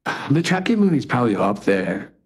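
A man giving short, halting, casual answers as a guest being questioned in a game. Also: mid-range voice, sing-song, breathy edge. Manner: hesitant, terse.

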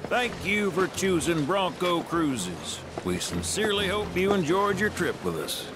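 An actor playing a cocky, folksy, free-spirited pilot in a video game, with a Southern US accent and salty slang. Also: male voice, high-pitched, big pitch swings, unhurried, background noise. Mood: breezy, genial. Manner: folksy drawling slang, swaggering. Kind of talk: video game cutscene acting. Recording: loud music, boat and waves behind.

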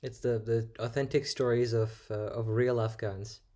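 A man's voice: medium pitch, little pitch movement, moderate pace, a little mellow. A young man with a mid-range voice hosts an interview over a video call. He is courteous and earnest, putting his questions politely. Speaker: interviewer, host.

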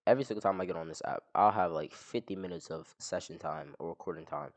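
A man's voice: sits low, quick pace, very noisy. A young man chatting with strangers on a video site through a headset mic, blunt and teasing, with crude insults. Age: young adult. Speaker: streamer chatting with strangers online. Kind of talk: casual video chat commentary. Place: small living room indoors.

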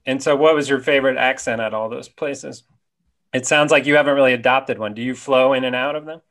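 A man in his forties hosting a podcast from his home study over a video call, friendly and quick with quips. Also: medium pitch, a bit bright. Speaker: podcast host. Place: home study with bookshelves, indoors. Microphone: webcam on a video call.

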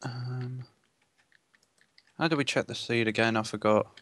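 A young man co-hosting a gaming video, chatting casually in a playful, excitable way. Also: medium pitch, a little slow, no room sound. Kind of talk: casual gameplay chat.

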